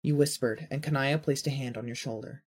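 A man's voice: mid-range voice, fairly even intonation, fairly quick, darkish tone. A man reading scripted fan fiction narration as the storyteller.

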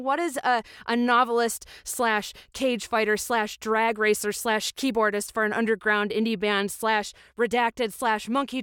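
An earnest woman writer played by an actress co-hosts a mock book podcast. She is chatty and supportive, hungry to be noticed, in a natural improv comedy style. The sound is dry, with a medium-pitched voice. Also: fairly bright tone, clear, resonant tone, dry recording, natural voice. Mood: dramatic, self-important. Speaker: podcast co-host.

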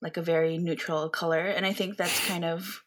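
A woman from the Philippines, a podcast guest, talking in a chatty, animated way with lots of fillers. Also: lowish voice, moderate pace. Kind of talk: casual conversational interview.

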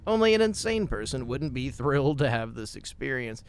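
A man's voice: medium pitch, big pitch swings, normal speed, clean tone. A man hosting a conversational film podcast, speaking in an emphatic, opinionated way. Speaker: podcast host.

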